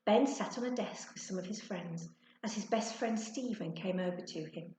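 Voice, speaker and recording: A woman's voice: mid-range voice, normal speed, mellow, slight background noise. A woman narrating a children's storybook expressively, like a teacher reading to her class. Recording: echoey, roomy sound.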